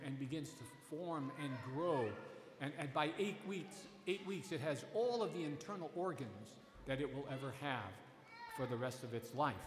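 A middle-aged man, a priest, preaching a Sunday homily from a lectern in a church, earnest and emphatic, often restarting his phrases. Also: medium pitch. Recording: echoey church with long reverb.

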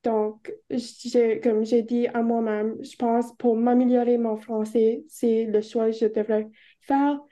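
Korean-native woman, warm dark tone, flat delivery, lots of ums and restarts. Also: fairly high pitch, unhurried. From Moncton, Canada. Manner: hesitant, many fillers and restarts. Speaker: university student guest. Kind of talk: casual conversation.

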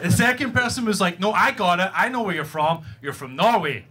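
High-pitched Glaswegian stand-up comic, a man. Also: lively intonation, brisk. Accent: Glaswegian Scottish. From Glasgow, Scotland. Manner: sweary, blunt, punchy with rhetorical "right?". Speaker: stand-up comedian. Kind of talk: stand-up comedy routine. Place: live comedy venue before an audience. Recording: live club recording, audience noise.

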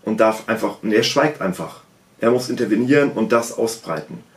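A man's voice: on the low side, normal speed. Insistent man in a roomy home room. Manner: insistent, emphatic, repeats key points. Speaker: political commentator. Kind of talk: critical video commentary. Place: small room at home, indoors.

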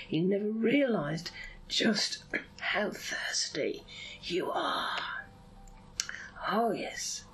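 Slow, swooping woman hypnotherapist, soothing delivery. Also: medium pitch, poor audio. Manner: soothing, drawn-out phrasing, often drops into breathy whisper. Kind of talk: hypnosis session, guided visualization.

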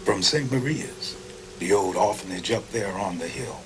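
Actor as low, folksy American mailman. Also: normal speed, noisy background, close to an everyday voice. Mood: wry. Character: calm, cryptic older male mail carrier, folksy, knowing.

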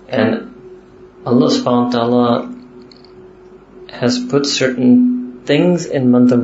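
A man's voice: medium pitch, slight background noise. Man lecturing in a roomy hall. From New York City. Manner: conversational, mixes in religious terms, chuckles, asks "yes?" to listeners. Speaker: religious teacher. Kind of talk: informal lecture to students. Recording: roomy, slightly dull recording.

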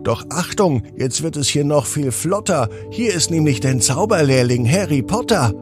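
A man's voice: fairly high pitch, expressive, moderate pace, music in the background, voice pushed up, close to an everyday voice. A man voicing a chirpy CD announcer in a children's story, talking in sing-song rhymes. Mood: excited announcing.

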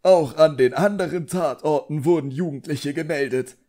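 Man voicing a high-pitched, blustering wizard official. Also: moderate pace, dark tone, lightly acted. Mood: pompous, threatening. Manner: indignant, pompous, barking. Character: irritable, blustering, contemptuous middle-aged male wizard official.

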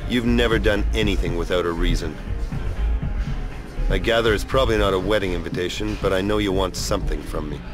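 Actor as deep-voiced, bitter space prospector. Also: male voice, a little fast, music in the background, natural voice. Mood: dismissive. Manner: sardonic, world-weary, tough-guy. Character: cynical, bitter hard-drinking male space prospector, jilted lover. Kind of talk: video game cutscene acting.

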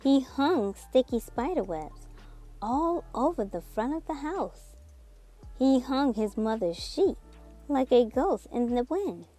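A woman reading a children's book aloud in an expressive, dramatic storytelling manner. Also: sits high. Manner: expressive, with drawn-out dramatic words and sound effects. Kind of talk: animated read-aloud storytelling. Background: music.

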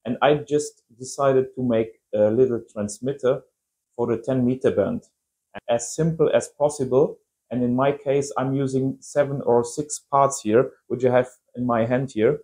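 Mellow-voiced German-accented man. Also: medium pitch, moderate pace. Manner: simple non-native English phrasing. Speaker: amateur radio vlogger. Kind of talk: casual explainer to viewers.

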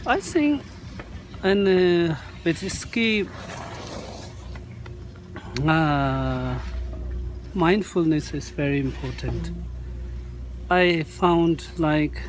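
A man who is a Buddhist monk, chatting slowly in halting English while riding a ski chairlift and searching for words. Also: fairly high pitch, expressive, slight background noise, rough recording. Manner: slow, searching for words, with fillers. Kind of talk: casual chat in halting English. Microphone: phone held at arm's length.

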